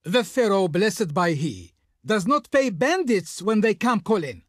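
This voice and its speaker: A man's voice: sits high, very animated, measured pace, no room sound, clean studio sound, exaggerated voice. A man playing a haughty, flowery envoy of an Egyptian Pharaoh in a video game, speaking in a pompous, declamatory manner. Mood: haughty, dismissive. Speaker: game voice actor playing a diplomat. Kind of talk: theatrical scripted game dialogue.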